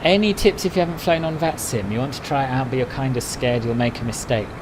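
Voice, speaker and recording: A man's voice: medium pitch, quick pace, noisy background. A man chatting casually on a live stream, answering viewers' messages one by one. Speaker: flight sim streamer.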